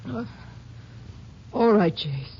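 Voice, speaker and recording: An actress playing a grieving, hesitant sheriff's widow, subdued and halting, in 1950s radio drama style. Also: female voice, mid-range voice, lively intonation. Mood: hesitant, pleading. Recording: dull old radio recording.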